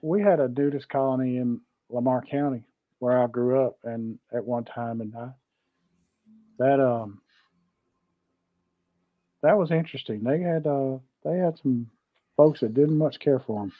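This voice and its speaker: A man's voice: medium pitch, measured pace, pauses often, no echo. A middle-aged or older man with a Southern US accent, chatting casually as co-host of a livestream in a folksy way, repeating himself and greeting viewers. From Georgia, United States. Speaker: live show co-host. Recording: dull, dry close audio.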